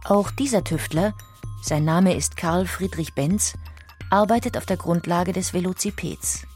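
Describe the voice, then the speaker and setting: A woman's voice: fairly low pitch, unhurried, a little mellow, husky, soft background music. A woman delivering scripted documentary narration as a narrator.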